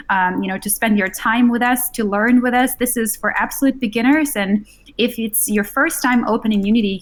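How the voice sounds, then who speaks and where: A woman from the Russian Far East co-hosting a livestream, reading viewer feedback in an upbeat, encouraging way. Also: a little high. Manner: upbeat, encouraging toward beginners. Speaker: co-host relaying chat. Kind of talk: casual livestream chat.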